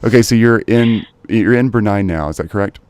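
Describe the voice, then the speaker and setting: Deep smooth guy hosting a chatty interview, upbeat, dry close sound. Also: a little fast, darkish tone, no room sound. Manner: upbeat, encouraging, lots of fillers. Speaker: podcast host. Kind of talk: casual interview.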